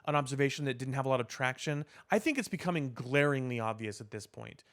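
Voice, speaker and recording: A man co-hosting a casual podcast discussion, giving opinions in a halting way, repeating words as he builds his point. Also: medium pitch, expressive, fairly bright tone. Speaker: podcast co-host.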